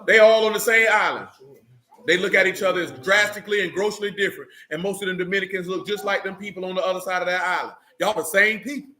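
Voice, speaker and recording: A man's voice: high-pitched, fast talker, pushed and bright. A man with speech teaching a religious group informally, emphatic and streetwise, often checking in with his listeners.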